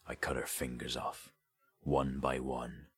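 A man's voice: a bass voice, steady tone, normal speed, a bit bright. A man voicing a haunted, greedy grave robber in an audiobook story, with a confiding, colloquial way of telling things. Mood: haunted, grisly confession.

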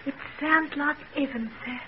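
Wide-eyed poor little girl played by a woman, very high-pitched, timid and wistful, muffled old radio serial. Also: moderate pace, lightly acted. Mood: awed. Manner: childlike, wistful wonder. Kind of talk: 1940s Australian radio drama acting. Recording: muffled, noisy 1940s radio recording.

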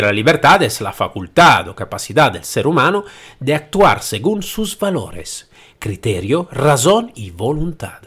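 A man's voice: medium pitch, breathy edge. A middle-aged man from Italy with an Italian accent, giving a solo talk to listeners in an emphatic way with self-corrections. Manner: lively and emphatic, thinking aloud with self-corrections. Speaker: podcast host.

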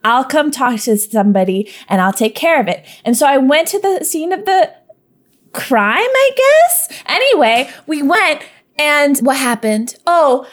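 A woman's voice: sits high, animated, a little slow. A woman voicing a naive, chatty young woman from a goddess commune, rambling and giggly in a tabletop roleplay. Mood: cheerful. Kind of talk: in-character roleplay.

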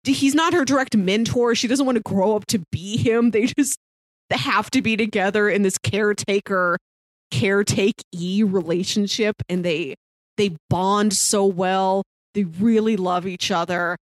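A woman's voice: mid-range voice, steady tone, measured pace, dry recording, studio quality. A woman co-hosting a casual podcast discussion of a TV show, talking in an enthusiastic, appreciative way. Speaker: podcast co-host.

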